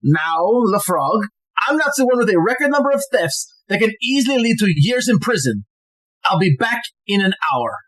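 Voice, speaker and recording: An actor playing a cunning, smug blackmailer in an audio play, insinuating and coolly threatening. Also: male voice, high-pitched, very animated, moderate pace, a bit bright, exaggerated voice, poor audio. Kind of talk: stage-like audio drama acting.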